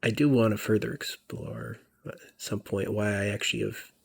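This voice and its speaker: Man, let's play commentator, rambling and self-reflective, low gravelly voice. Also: moderate pace. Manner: rambling, self-reflective, often trails into a soft whisper. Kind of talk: casual gameplay commentary.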